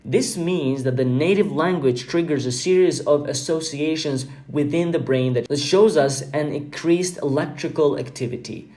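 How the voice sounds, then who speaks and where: A man whose first language is Albanian, hosting a podcast in an earnest, reflective monologue, often pausing with fillers and repeating words. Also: on the high side, mellow. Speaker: podcast host.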